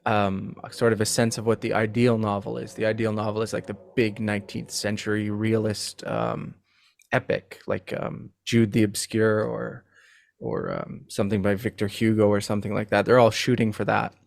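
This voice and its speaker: A man speaking as a podcast guest about literature, thoughtful and halting, often repeating words as he thinks. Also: on the low side, little pitch movement, gravelly, no room sound, studio quality. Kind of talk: casual literary discussion.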